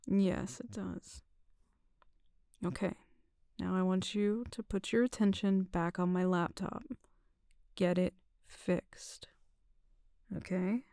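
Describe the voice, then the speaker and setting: A woman performing an ASMR roleplay in a soft, teasing, drawn-out whisper, close to the mic. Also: mid-range voice, creaky, dry recording.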